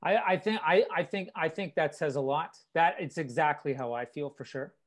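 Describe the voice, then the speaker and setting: Relaxed male host, slightly high voice. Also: moderate pace. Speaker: event host, moderator. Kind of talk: moderating a live online Q&A.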